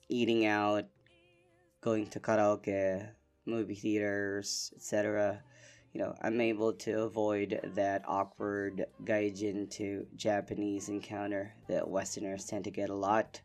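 A young man from the Philippines hosting a casual solo podcast, relaxed and rambling with lots of fillers. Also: on the low side, vocal fry. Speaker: podcast host.

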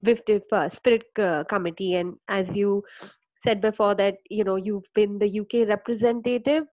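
A woman co-hosting an interview, asking long questions full of fillers and pauses. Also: mid-range voice, fairly even intonation, highs cut off. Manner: hesitant questioner with many fillers. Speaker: co-host. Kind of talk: casual interview over a call.

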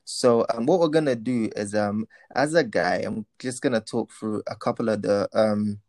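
A man's voice: fairly low pitch, normal speed, darkish tone, no echo, studio quality. A man co-hosting a casual chat, teasing playfully and switching between English and Igbo. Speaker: co-host. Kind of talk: casual banter.

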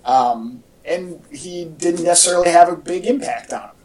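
A man's voice: fairly high pitch, moderate pace, a little mellow, distant mic. Enthusiastic man chatting, off-mic. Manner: enthusiastic, rambling. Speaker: podcast co-host. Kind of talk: casual fan chat about superhero movies. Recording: echoey, off-mic home podcast audio.

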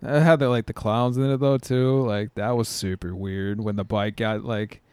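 A man chatting casually as a podcast co-host, in a jokey, animated way with loose, sweary language. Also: on the low side, moderate pace, smooth, no room sound. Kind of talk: casual chat.

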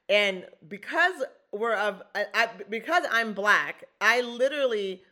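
A woman's voice: mid-range voice, bright, forceful tone. A woman hosting a podcast, talking in a chatty, effusive way with frequent restarts. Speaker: podcast host. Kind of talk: casual podcast conversation.

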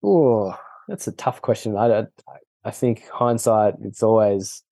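A man with an Australian accent, chatting casually as a podcast guest, relaxed and often laughing. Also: fairly low pitch, a little slow, vocal fry, dry recording. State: injured foot, on painkillers. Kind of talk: casual interview chat.

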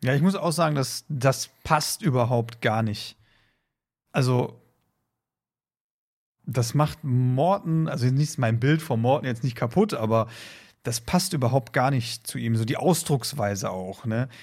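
Male co-host, medium-pitched voice, thoughtful critic in a casual podcast chat. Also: unhurried, a little mellow. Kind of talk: casual discussion of an audio drama.

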